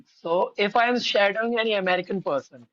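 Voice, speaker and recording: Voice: mid-range voice, fairly quick, a bit bright, no echo. Polite podcast guest with an Indian accent, rambly, says "ma'am" often, on a lo-fi mic. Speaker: podcast guest, English learner. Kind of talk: casual conversational podcast.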